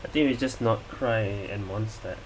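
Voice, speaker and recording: A man's voice: fairly low pitch, moderate pace. Man casually commenting over a game, noisy room. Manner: musing, reacting aloud to the game. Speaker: gaming streamer. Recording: steady hum of room noise.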